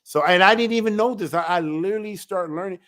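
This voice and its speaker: A man, an elder of a ministry, giving a religious teaching talk in an emphatic, animated way. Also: high-pitched, quick pace. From United States.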